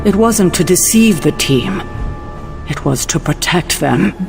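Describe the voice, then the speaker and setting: Casting: stern male game mentor, somewhat high-pitched, formal, indignant and protective, over a score. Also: animated, measured pace, vocal fry, over music. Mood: defensive. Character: exasperated, proud, protective male leader, stern and proper. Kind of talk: video game cutscene acting.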